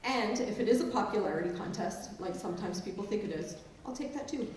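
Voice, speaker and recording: A woman's voice: medium pitch, expressive, fast talker, heavy background noise. A woman in her forties with a mixed English and New Jersey accent and a touch of Maryland Eastern Shore. She is a professor giving a conversational, self-deprecating keynote speech. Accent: mixed English and New Jersey, with some Maryland Eastern Shore. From England, raised in New Jersey. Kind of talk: speech to an audience. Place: large auditorium stage. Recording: very echoey hall, distant mic.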